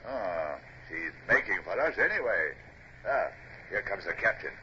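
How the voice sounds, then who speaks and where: Gruff, slow-spoken naval officer. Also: male voice, deep voice, big pitch swings, sharp tone, exaggerated voice. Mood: grumbling. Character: gruff, loyal male naval first officer. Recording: dull, hissy vintage radio recording.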